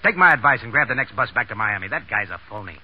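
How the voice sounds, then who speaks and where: Brusque, fast-talking, cocky wise guy. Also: mid-range voice, lively intonation, breathy edge. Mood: wry. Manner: blunt, slangy, scolding. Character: brusque, cocky, bossy young wisecracking man. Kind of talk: 1930s radio acting. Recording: muffled 1930s radio broadcast.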